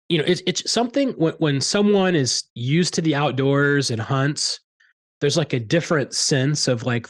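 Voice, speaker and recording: Podcast host, man, mid-range voice, easygoing and hesitant. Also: mid-range voice. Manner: relaxed, hesitant with fillers. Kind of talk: casual chat.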